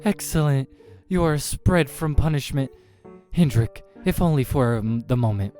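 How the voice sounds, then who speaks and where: Casting: male performer as imperious tentacled eldritch she-creature for a horror game, raised pitch, forceful, haughty, formal. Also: animated, normal speed, faint music behind, lightly acted. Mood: menacing delight. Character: imperious, menacing female eldritch tentacled being.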